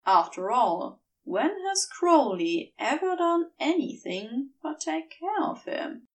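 A woman reads fiction aloud, set slightly back from the microphone. Her high voice moves with lively, expressive swings of pitch, while the delivery stays slow and measured, like careful audiobook storytelling. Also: far from the mic. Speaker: fan fiction audiobook reader. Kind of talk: scripted story narration.

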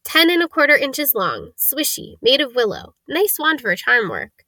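Woman voicing an eerie old wandmaker, pitched high. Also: huge pitch swings, dry recording, studio quality, heavily acted. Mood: knowing, eerie. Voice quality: soft-voiced. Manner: deliberate, clipped listing of details, exclamatory greetings. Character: eerie old male wandmaker, keenly knowing, excitable. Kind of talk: storybook character reading.

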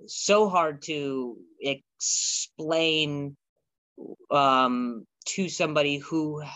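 A man who stutters, a podcast guest talking reflectively, halting often with restarts and repeated words. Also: fairly high pitch, bright, forceful tone, no echo. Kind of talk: reflective conversation.

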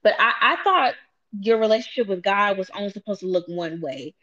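Woman host, late twenties, animated and teasing, slightly dull lo-fi sound. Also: medium pitch, fairly quick. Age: 20s. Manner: animated, playful teasing, talks to viewers directly. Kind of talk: casual chat.

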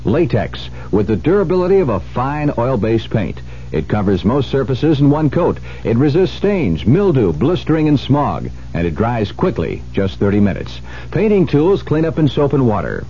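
Male spokesman, scripted radio ad, mellow tone, animated intonation, dull sound. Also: mid-range voice, normal speed, faint music behind. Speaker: commercial spokesman. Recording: dull hissy 1970s radio recording.

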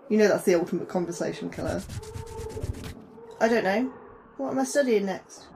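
A woman's voice: mid-range voice, normal speed, gravelly, lightly acted. A woman acting an anxious, tired astrobiologist in a sci-fi horror podcast, talking conversationally over a noisy ambience. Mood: weary, sulky. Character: anxious, tired female astrobiologist in a secret Antarctic facility.

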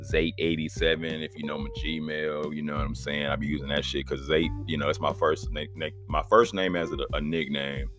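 A man's voice: level tone, a little fast, hardly any pauses, music in the background. A man in his thirties with an Oklahoma accent, hosting a casual solo podcast in a rambling, profane, laid-back way. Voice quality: deep voice. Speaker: podcast host.

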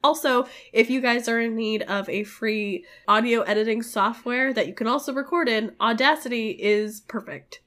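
A woman's voice: on the high side, moderate pace, hardly any pauses, a bit bright. A woman co-hosting a podcast in casual chat, her voice nasal and congested from a cold, frank and playful. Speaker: podcast co-host. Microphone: home recording mic.

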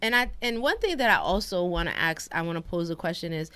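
A woman's voice: fairly low pitch, lively intonation, fast, pushed and bright, smooth. A young American woman co-hosting a podcast, talking casually and reflectively with many fillers. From United States. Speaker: podcast co-host. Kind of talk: casual conversation.

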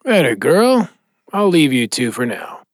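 Smug dead man hallucination, needling n blunt, pitch swings around a lot, dry audio drama sound. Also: very animated, measured pace, no room sound. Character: taunting hallucinated dead man, mocking and controlling.

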